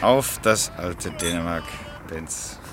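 An actor playing a reserved count and court chamberlain, answering briefly and in measured fashion in a German period radio play. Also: male voice, low-pitched, background noise. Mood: curious, puzzled. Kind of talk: German radio drama acting, period piece.

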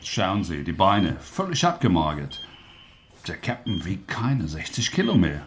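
An actor playing a dry, blunt doctor in a radio drama, speaking in terse clinical statements. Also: male voice, low-pitched, huge pitch swings, unhurried, a little hiss or hum, exaggerated voice. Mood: dry, certain.

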